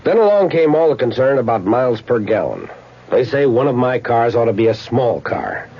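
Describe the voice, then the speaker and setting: A man's voice: mid-range voice, sing-song, moderate pace, husky. A man speaking a folksy, chatty testimonial in an old radio commercial. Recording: dull old radio broadcast.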